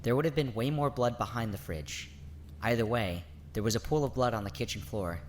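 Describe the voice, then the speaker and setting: A man voicing a blunt, scornful skeptic in an animated murder mystery. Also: medium pitch, brisk, close to an everyday voice. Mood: dismissive. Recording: faint background noise.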